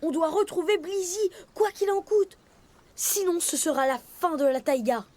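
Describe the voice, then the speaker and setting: A woman's voice: very high-pitched, animated, moderate pace, darkish tone. A woman voicing a brave young leopard cub, a determined and kind leader in a French cartoon dub, earnest and youthful. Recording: faint music behind the voice.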